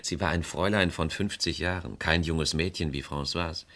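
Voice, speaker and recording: Very deep-voiced, worried small-town estate agent. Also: male voice, normal speed, a bit bright. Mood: resigned. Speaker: radio drama actor. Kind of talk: German radio crime play dialogue.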